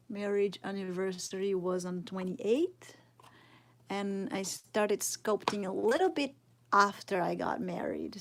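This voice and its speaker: A woman in her thirties from Brazil with a Brazilian Portuguese accent, casually teaching sculpting live and thinking aloud, often dropping to a murmur as she works. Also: mid-range voice. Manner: slow, thinking aloud, drops to murmurs while working. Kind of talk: casual live tutorial, answering chat.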